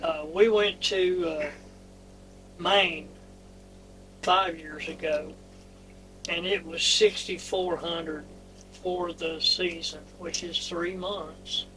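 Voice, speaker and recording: A man's voice: fairly high pitch, steady tone, slow pace, pauses often, pushed and bright. A man with a Southern American accent telling sailing stories in casual conversation. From North Carolina and southeastern Kentucky. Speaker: guest, experienced sailor. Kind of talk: casual storytelling. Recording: distant mic with hum and noise.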